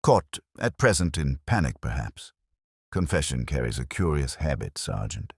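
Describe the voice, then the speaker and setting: A man voicing a calm, unhurried, gently authoritative police inspector in a mystery audiobook. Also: rumbling low, creaky, breathy edge, no echo, studio quality, heavily acted. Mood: calm, gentle. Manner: calm, measured, philosophical. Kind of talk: mystery audiobook character dialogue.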